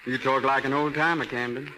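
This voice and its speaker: A man's voice: mid-range voice, bright, forceful tone, low-quality recording. A man playing an earnest young country suitor in a 1930s radio drama. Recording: faintly hissy 1930s radio recording.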